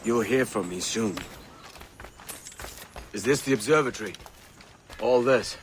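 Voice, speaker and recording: An actor playing a gruff, hot-tempered, blunt pirate captain in a video game, with salty sailor talk. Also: male voice, mid-range voice, a little slow, lightly acted. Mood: wary, skeptical. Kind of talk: dramatic video game acting. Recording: noisy outdoor ambience behind the voice.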